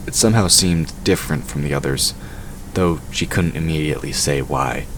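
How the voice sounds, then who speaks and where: A man's voice: rumbling low, a little hiss or hum, rough recording. A man reading scripted narration for a fantasy audio drama.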